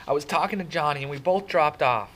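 A man's voice: on the high side, fast talker, fairly bright tone, voice pushed up. A man voicing an upset, pleading teenage boy. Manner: stammering, pleading, raised voice. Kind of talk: dramatic audiobook character reading.

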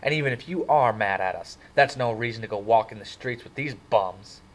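A man's voice: mid-range voice, very animated, moderate pace, natural voice, poor audio. Sneering rich teen boy, short-tempered, man reading.

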